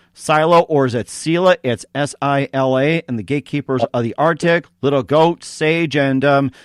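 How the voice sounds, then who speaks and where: Male interview host, fairly high voice, choppy and halting, close dry sound. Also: no echo. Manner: halting, choppy phrasing with repeated tag words. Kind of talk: casual radio interview.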